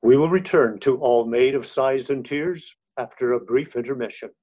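A man narrating a scripted radio mystery with deliberate, dramatic pauses. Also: medium pitch, a little mellow. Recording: dull lo-fi sound. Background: piano music.

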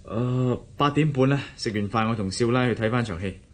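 An actor playing an affectionate, easygoing young husband in a radio drama, with a Hong Kong Cantonese accent. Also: male voice, mid-range voice, fairly quick, lightly acted. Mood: relaxed.

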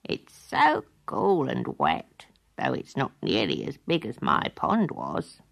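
Woman doing a low gravelly frog. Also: huge pitch swings, takes it slowly, heavily acted. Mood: contented. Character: small male frog, cheerful and easygoing.

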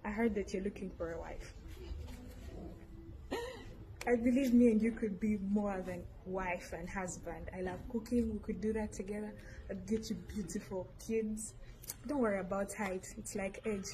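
A woman's voice: medium pitch, fairly even intonation, normal speed, mellow, slight background noise, poor audio. A young woman with a Ugandan accent introducing herself to camera as a show contestant. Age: young adult. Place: indoors against a plain wall.

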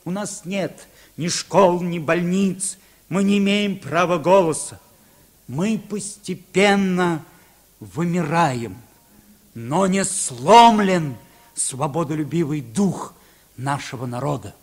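High-voiced, proud, grieving tribesman. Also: animated, takes it slowly, a little mellow, heavily acted. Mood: grave. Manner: grave, declamatory. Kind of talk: Soviet radio play acting.

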